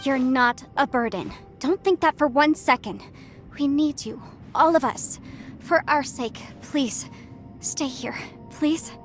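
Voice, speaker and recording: A woman's voice: high-pitched, slow pace. A woman voicing an earnest, caring young female companion in a video game, speaking articulately and with urgency. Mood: caring, pleading. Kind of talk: English game dub voice acting. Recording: game music behind the voice.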